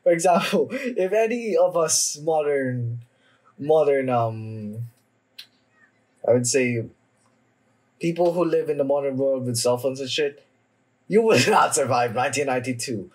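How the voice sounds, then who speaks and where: A young man hosts a casual reaction video, speaking at a markedly slow pace. His delivery is halting and hesitant, with words often repeated as he goes. The pitch sits in an average male range. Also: lively intonation, poor audio. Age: young adult. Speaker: anime reaction video host. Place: small room at home, indoors.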